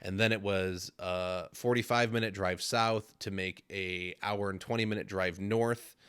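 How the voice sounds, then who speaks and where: A man hosts a casual podcast and talks candidly about his working days. His voice is very low and he strings thoughts together in long run-on stretches. The sound is dry and close. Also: steady tone, a little slow, fairly bright tone, smooth, dry recording. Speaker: podcast host, flooring contractor.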